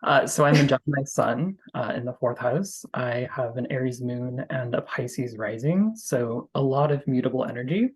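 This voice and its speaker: A man's voice: medium pitch, normal speed, hardly any pauses, breathy edge, poor audio. A man speaking as an astrologer guest in an interview, explaining his practice earnestly and conversationally, thinking aloud as he goes.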